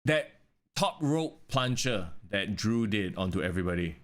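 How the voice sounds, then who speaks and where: Male podcast co-host, casual, swears freely, lively pitch. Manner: animated, swears casually, quick reactions. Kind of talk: casual wrestling chat.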